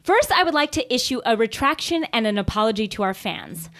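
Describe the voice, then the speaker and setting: Animated, high-voiced woman podcast host. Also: a little fast. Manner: playful, giggly. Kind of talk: casual comic chat and reading out an email.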